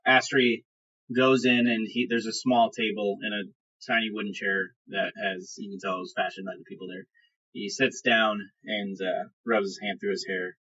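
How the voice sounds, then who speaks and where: A man narrating a tabletop game as game master, improvising descriptions in a halting storytelling manner. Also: on the low side, pushed and bright, husky, highs cut off.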